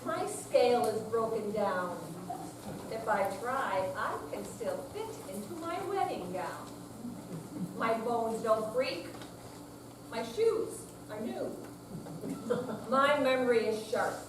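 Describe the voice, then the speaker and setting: An older woman recites comic verse with mock indignation. She takes it slowly in a fairly high voice. The sound is distant and very reverberant. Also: expressive. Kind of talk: dramatic recitation of a humorous poem. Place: senior center room with audience, distant mic. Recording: echoey hall from far off, noisy.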